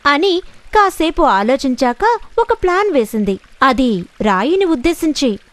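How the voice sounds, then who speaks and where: High, animated female children's storyteller. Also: a little slow, dry recording. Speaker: narrator. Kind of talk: scripted children's story narration.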